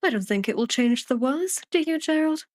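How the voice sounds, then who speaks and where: A woman voicing a garrulous old man from a storybook, fussy and self-deprecating, rambling on in an animated way. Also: high-pitched, normal speed, no echo, studio quality. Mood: gossipy, gentle.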